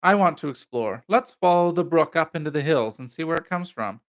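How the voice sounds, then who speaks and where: A man's voice: a little high, expressive, fairly quick, pitched above the performer's own voice, natural voice. A man voicing a playful young man with casual, dry humor in an audiobook novel. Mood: idle.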